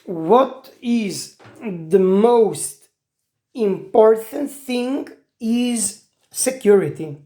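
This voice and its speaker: A woman's voice: medium pitch, mellow. A woman, talking to camera in a casual monologue and often stopping to search for words. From Croatia. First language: Italian. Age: 40s. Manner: halting, searching for words, many restarts. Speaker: IT specialist.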